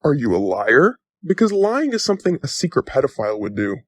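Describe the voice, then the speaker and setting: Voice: mid-range voice, big pitch swings, a little fast, no echo. An actor playing a smug intrusive thought personified as a gaslighting, taunting talk-show host, with theatrical TV patter. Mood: accusing.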